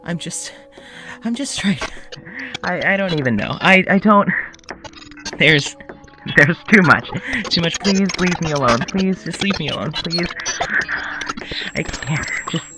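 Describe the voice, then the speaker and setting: An actor playing an exhausted, anxious doctor recording an audio journal, speaking haltingly and wearily. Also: male voice, fairly high pitch, animated, over music. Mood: pleading. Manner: halting, weary, pleading, breaks into shouting. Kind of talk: audio drama monologue.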